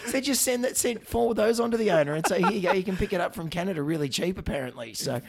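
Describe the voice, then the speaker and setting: An Australian man in his forties, a veterinarian hosting a podcast, hoarse and gravelly after a night of singing, telling rambling stories with lots of fillers and laughs. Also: high-pitched, lively intonation, fast talker, darkish tone. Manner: rambling storyteller who laughs often. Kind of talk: casual banter with filler words.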